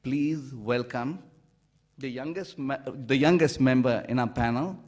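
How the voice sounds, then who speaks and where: A man giving a formal stage introduction as event presenter, speaking in a measured, ceremonious and flowery way. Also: medium pitch, a little mellow.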